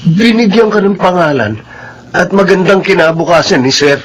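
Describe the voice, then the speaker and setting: An actor playing a humble, honest young family driver in a melodrama, speaking in a deferential, earnest way. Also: male voice, sits high, animated, normal speed, breathy edge, rough recording. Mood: shocked, reproachful. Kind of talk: Filipino radio-style melodrama acting.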